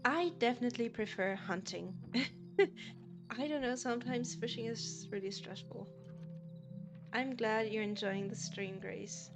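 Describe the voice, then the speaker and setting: A woman's voice: fairly high pitch, a little slow, long pauses, over music. A woman from Germany with a German accent, chatting casually with viewers as a game streamer and laughing often. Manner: giggly, chatty, often laughs between sentences.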